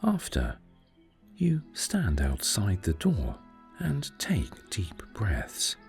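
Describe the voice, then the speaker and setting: A man narrating a scripted sleep meditation story in a soothing, gentle, unhurried way, with breathy phrase endings. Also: low-pitched, huge pitch swings, music in the background.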